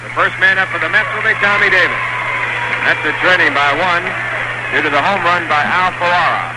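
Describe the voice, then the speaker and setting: A man calling a baseball game from a stadium booth, his voice sitting a little high and his delivery steady and matter-of-fact. It comes through as a 1960s AM radio broadcast, with loud hiss running underneath. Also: a little fast. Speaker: play-by-play announcer.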